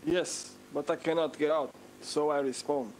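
A man's voice: a little high, steady tone, normal speed, darkish tone. A man chatting casually as a player over an online game's voice chat. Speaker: fellow player. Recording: noisy in-game voice chat.

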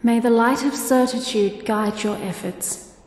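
A solemn goddess of light from a fantasy game, voiced by a woman. She speaks slowly and formally, with grave command. Her voice rings in a long, heavy echo. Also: on the high side, a little mellow, close to an everyday voice. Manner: stately, formal, deliberate. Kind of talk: video game voice acting. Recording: long cathedral-like reverb.

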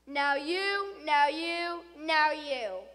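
A woman's voice: sits high, lively intonation, very slow, heavily acted. A woman voicing a huge, menacing female monster teacher who barks out orders in a stage recitation. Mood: menacing, commanding. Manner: barking loud orders. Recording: reverberant hall.

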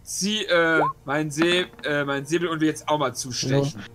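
Higher-voiced man playing a tabletop game. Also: steady tone, normal speed, background noise. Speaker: tabletop role-playing player. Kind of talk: casual game chat.

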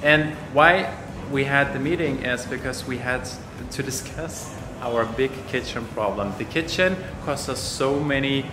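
A man's voice: medium pitch, measured pace, few pauses, noisy background. A man in his twenties with a German accent, talking casually and explaining things to the camera as a vlogger. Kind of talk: casual vlog talk to camera. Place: villa rooms, a furniture shop, roadside. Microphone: camera at arm's length.

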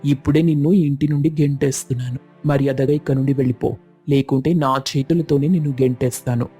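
A man voicing a heartless, harsh husband in an animated moral story, curt and commanding. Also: medium pitch, fast talker, husky, faint music behind, lightly acted. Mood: angry, cold. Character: harsh, heartless husband rejecting his wife.

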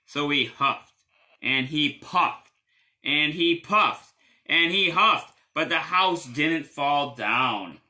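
A man in his thirties reading a children's story aloud expressively, with dramatic, drawn-out emphasis. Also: mid-range voice, sharp tone. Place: indoors against a panelled wall.

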